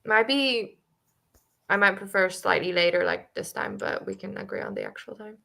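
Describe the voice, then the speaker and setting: A woman's voice: mid-range voice, normal speed, vocal fry, bright, forceful tone. A woman co-hosting a book club discussion over a video call, talking casually and thinking aloud with lots of fillers.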